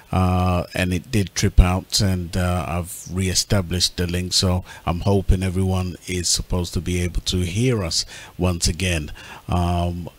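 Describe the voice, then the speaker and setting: A man hosting an informal radio talk show in a polite, measured manner. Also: sits low.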